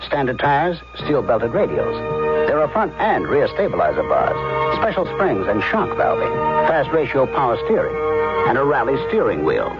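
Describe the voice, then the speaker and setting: A man's voice: medium pitch, wildly swooping pitch, moderate pace. A man working as a commercial announcer, reading a scripted car advertisement. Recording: muffled radio broadcast, music behind.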